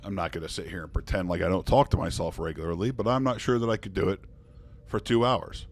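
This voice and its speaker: Gravelly low-voiced man streaming. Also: fast talker, a little hiss or hum. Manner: easygoing, thanks viewers, jokes in character as a starship commander. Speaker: poker streamer. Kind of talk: casual live-stream chat while playing online poker.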